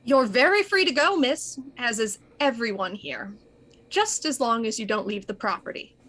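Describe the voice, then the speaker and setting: An actress playing a composed, sharp-witted female inspector in a 1920s radio mystery, speaking crisply and dryly. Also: a little high, expressive, moderate pace. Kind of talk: 1920s radio drama acting.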